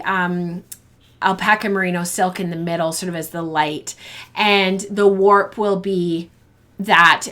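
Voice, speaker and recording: A woman hosting a live-streamed fiber arts show, chatting casually with many ums and restarts, her voice going hoarse. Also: mid-range voice, a bit bright. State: losing her voice.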